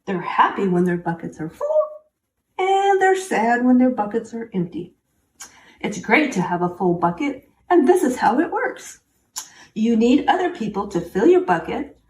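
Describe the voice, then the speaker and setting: A woman with a fairly high, very lively voice reads a children's picture book aloud. She speaks simply and encouragingly, talking straight to young listeners. The recording sounds roomy, with some distance from the mic. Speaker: children's story reader. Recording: roomy, distant mic, faint background noise.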